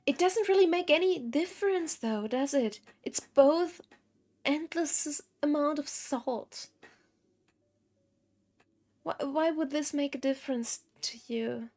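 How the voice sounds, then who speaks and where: A woman's voice: high voice, slow pace, darkish tone. A woman game streamer giving casual live commentary, thinking aloud in a blunt, wry way.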